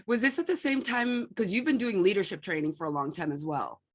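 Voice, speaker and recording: A woman's voice: medium pitch, a little fast, slightly rough. A woman hosting an interview, introducing her guest and asking probing follow-up questions. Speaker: host.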